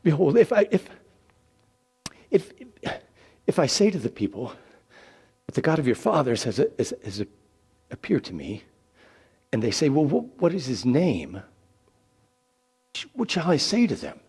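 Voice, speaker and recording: A man's voice: on the high side, huge pitch swings, measured pace, pauses often, darkish tone, breathy edge, voice pushed up, exaggerated voice. A man voicing a timid, reluctant prophet who stammers and pleads in a dramatic scripture reading. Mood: anxious, uncertain. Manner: stammering, hesitant.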